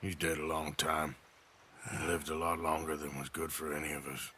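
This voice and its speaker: A man's voice: rumbling low, little pitch movement, normal speed, sharp tone, slight background noise. An actor playing a tough, weary but loyal outlaw gunman with an American accent, plainspoken, in video game drama. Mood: subdued, wry.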